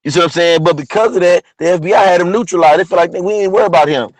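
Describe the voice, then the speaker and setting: A man with a partly lost, hoarse voice, talking casually about history as host of an online show, often asking "you know what I'm saying?". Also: high voice, very fast, no echo, low-quality recording. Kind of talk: casual history talk monologue.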